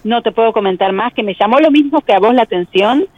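A woman with a provincial Buenos Aires accent explaining things as an expert interviewee, in measured, hesitant phrasing. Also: a little high, expressive, dry recording, muffled recording. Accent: provincial Buenos Aires Argentine. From Argentina.

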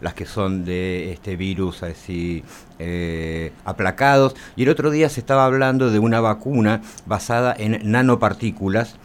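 A man putting questions in a conversational, unhurried way as a radio interviewer. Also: fairly low pitch, a little hiss or hum.

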